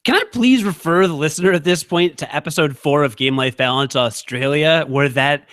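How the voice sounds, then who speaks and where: A man co-hosts a casual podcast, cracking sarcastic jokes and playing a mock expert. His voice sits somewhat high for a man. The recording sounds dry and close, with almost no room echo. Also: normal speed, a bit bright. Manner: joking, sarcastic, mock-authoritative. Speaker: podcast co-host. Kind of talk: casual chat.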